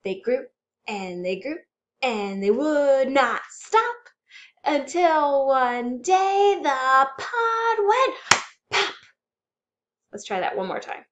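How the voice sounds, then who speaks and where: A woman hosting a children's storytime, reading aloud and chanting rhymes in an animated, exaggerated way for young children. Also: high voice, takes it slowly, pauses often, dull audio. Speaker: children's librarian storytime host.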